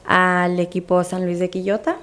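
A woman's voice: fairly low pitch, little pitch movement, normal speed, a bit bright. A woman from Mexico with a Mexican accent, speaking conversationally as a guest in a radio interview. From Guanajuato, Mexico. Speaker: radio guest promoting a charity campaign.

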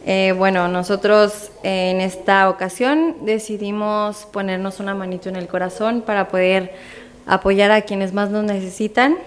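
A Mexican woman speaks as a guest in a conversational radio interview. Her voice is medium in pitch and stays quite flat and even in its melody. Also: normal speed. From Guanajuato, Mexico. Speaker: radio guest promoting a charity campaign.

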